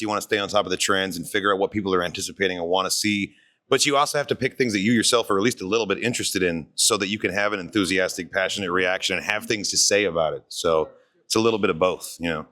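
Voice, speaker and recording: A man with a very deep voice and a bright, forceful edge speaks quickly on a panel. He is articulate and well phrased, with no wasted words. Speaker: content creator, panel guest. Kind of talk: casual panel chat.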